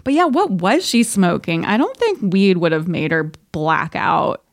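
A woman co-hosting a podcast in casual chat, retelling things in a wry, animated, slangy way. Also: fairly low pitch, unhurried, no echo, clean studio sound. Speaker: podcast co-host. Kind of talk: casual book-discussion chat.

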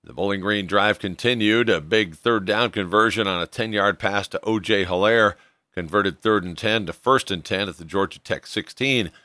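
A man's voice: fairly low pitch, moderate pace, bright, forceful tone, dry recording. An American man hosting a sports podcast, narrating a scripted football recap in a broadcast style. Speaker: sports podcast host and radio play-by-play announcer.

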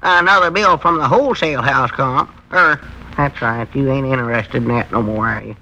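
An actor playing a naive, grumbling small-town storekeeper with a rural Southern American accent, querulous and folksy, in 1940s radio comedy style. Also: male voice, mid-range voice, moderate pace, sharp tone. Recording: noisy old 1940s radio broadcast.

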